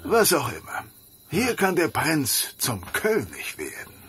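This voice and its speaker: An actor playing a sly, jovial, boastful big-bellied master thief, theatrical and conspiratorial, in a fantasy audio drama. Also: male voice, fairly high pitch, huge pitch swings, takes it slowly, fairly bright tone, breathy edge, heavily acted.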